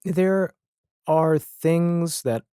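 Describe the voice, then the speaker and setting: A man speaking as a podcast guest in a casual, reflective conversation, with halting, thoughtful phrasing. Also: on the high side, dark tone, smooth, dry recording, clean studio sound.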